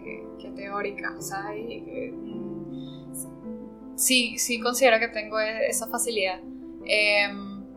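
A woman's voice: mid-range voice, flat delivery, takes it slowly, over music, rough recording. A Venezuelan woman from Margarita Island answering interview questions in a reflective way, often searching for words. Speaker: interview guest. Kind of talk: conversational interview.

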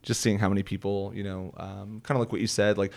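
A man in his thirties from the Philadelphia area with a Philly accent, chatting casually as a comedian guest, relaxed and halting with lots of fillers. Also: on the low side, vocal fry. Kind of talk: casual interview chat.

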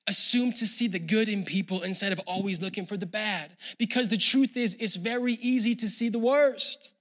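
A man preaching a sermon as a pastor, talking casually to people at home and rising to loud, emphatic passages. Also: high-pitched, normal speed.

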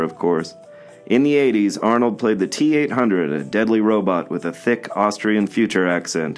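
Dry, sarcastic low-voiced man. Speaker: podcast co-host. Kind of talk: scripted comedic list segment.

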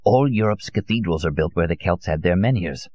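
A man's voice: deep voice, animated, fairly quick, darkish tone. A man voicing a fervent elderly conspiracy theorist in an audiobook, emphatic and rhetorical, holding forth in rambling monologue. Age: older. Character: elderly Russian male conspiracy theorist, fervent, nostalgic taxidermist. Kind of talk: dramatised audiobook character. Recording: dull, muffled lo-fi audio.

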